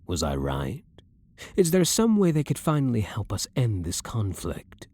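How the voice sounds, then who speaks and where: A man playing a blunt, dominant, brooding vampire hunter who is secretly a vampire, in a husky voice with measured, formal, theatrical phrasing for an ASMR roleplay. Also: fairly low pitch, huge pitch swings, mellow, full character voice. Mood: commanding, probing. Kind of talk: ASMR roleplay audio drama acting.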